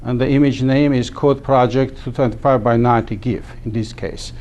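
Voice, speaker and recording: Halting middle-aged man teaching a class. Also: medium pitch, steady tone, darkish tone, muffled recording. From Armenia. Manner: halting, many fillers and restarts. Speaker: instructor. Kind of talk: classroom lecture. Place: small classroom.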